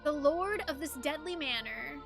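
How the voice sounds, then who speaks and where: A woman's voice: high-pitched, animated, normal speed, vocal fry, over music. A woman streamer reading a game's story aloud as dramatic narration, with casual asides. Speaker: streamer narrating a game playthrough.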